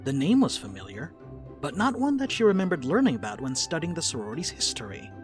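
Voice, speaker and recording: A man's voice: on the high side, big pitch swings, fast talker, music in the background. A man giving scripted third-person narration for a dramatic reading.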